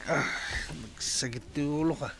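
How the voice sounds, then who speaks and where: A man's voice: a little high, measured pace, vocal fry, a bit bright, faint music behind. A man playing a heartbroken, hard-drinking young jeepney driver in a radio drama, with a Filipino accent. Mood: groggy, reluctant.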